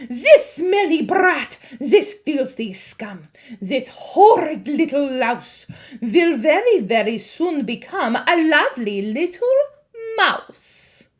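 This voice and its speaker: A shrill, cruel witch queen, her voice climbing high and swinging wildly as she shrieks her orders. She drags her words out slowly for theatrical menace. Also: wildly swooping pitch, full character voice. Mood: shrieking.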